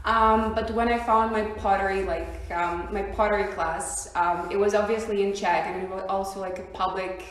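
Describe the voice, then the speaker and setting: A woman's voice: medium pitch, steady tone, moderate pace, few pauses, a little hiss or hum. Young Russian woman in an echoey room. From Siberia, Russia. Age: young adult. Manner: hesitant, many ums and likes, laughs often. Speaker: guest. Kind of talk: casual interview chat.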